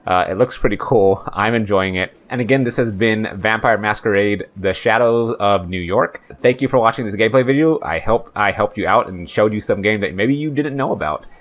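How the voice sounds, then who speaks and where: A man's voice: lowish voice, fairly quick, hardly any pauses. A man hosting a gameplay video, talking in an informal, rambling way as he comments on the game.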